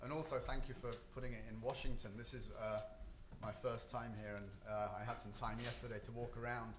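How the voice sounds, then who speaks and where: A man talks at a conference panel in a hotel meeting room, pausing often with ums and restarting his sentences. His voice sits at a middle pitch and carries the echo of a roomy hall, with faint ambience behind it. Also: flat delivery, background noise. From not Japan or the US. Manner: hesitant, many ums and restarts.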